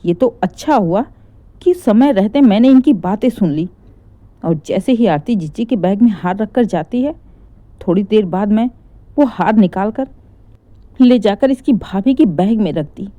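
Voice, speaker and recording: A woman's voice: medium pitch, lively intonation, unhurried, dry recording, narrow, tinny audio, lightly acted. A woman voicing a stern, sarcastic middle-aged mother-in-law in a story, scolding with pointed rhetorical questions. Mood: explaining, indignant.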